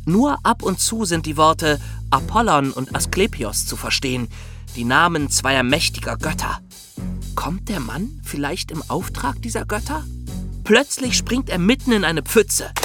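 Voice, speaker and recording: Lively guy narrating a story podcast, bright highish voice. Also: vocal fry. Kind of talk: dramatic scripted storytelling for young listeners.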